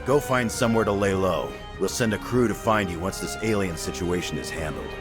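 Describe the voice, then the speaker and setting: An actor playing a cocky, gruff action-game soldier with a gravelly voice and curt, deadpan quips. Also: male voice, sits low, fairly quick, music in the background, close to an everyday voice. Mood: brusque. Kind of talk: video game cutscene acting.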